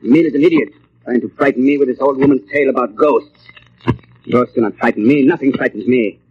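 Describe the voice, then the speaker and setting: An actor playing a pompous, sinister mind reader who craves power, in the theatrical style of an old radio drama. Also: male voice, medium pitch, quick pace, close to an everyday voice. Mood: haughty, scornful. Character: imperious, sinister male mind reader, megalomaniac turning panicked. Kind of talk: 1940s radio drama acting. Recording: muffled old radio recording, faint noise.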